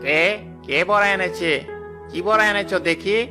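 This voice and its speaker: Man voicing a high-pitched curious village gentleman. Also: normal speed, music in the background, natural voice. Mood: curious, pleased. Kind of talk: Bengali cartoon story voice acting.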